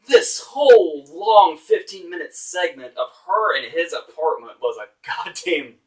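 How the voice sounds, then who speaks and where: A man's voice: fairly high pitch, expressive, measured pace. A man reviewing movies informally as a host, exasperated, emphatic and sarcastic, with casual swearing. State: voice getting hoarse. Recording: distant microphone.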